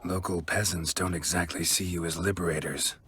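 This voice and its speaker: A very low, gruff monster hunter. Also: male voice, a little fast, full character voice. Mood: flat, wary. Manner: terse, deadpan, clipped sentences. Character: gruff, stoic middle-aged male monster hunter. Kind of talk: video game acting. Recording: music behind the voice.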